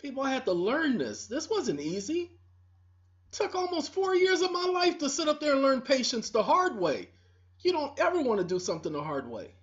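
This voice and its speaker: Woman video host, preachy confrontational monologue, animated delivery, muffled lo-fi audio. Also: sits high, moderate pace. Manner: preachy, scolding, repetitive, talks straight to the audience. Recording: dull lo-fi recording.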